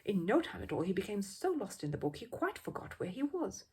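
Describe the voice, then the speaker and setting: Woman, children's audiobook narrator, expressive, very husky, lively varied intonation, medium pitch. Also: brisk. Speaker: audiobook reader.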